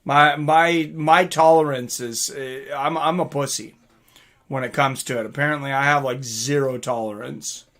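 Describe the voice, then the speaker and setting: A man hosting a casual livestream, reading out viewer comments and reacting in an excitable, informal way with some swearing. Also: mid-range voice, moderate pace. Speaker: livestream host.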